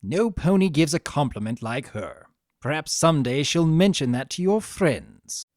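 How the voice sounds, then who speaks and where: Sardonic old chaos dragon, played by a narrator, mock-dramatic, very animated delivery, close dry sound. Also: medium pitch, moderate pace, no echo, lightly acted. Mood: dry, teasing. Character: sly, theatrical old male chaos dragon-creature. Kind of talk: fan fiction dramatic reading.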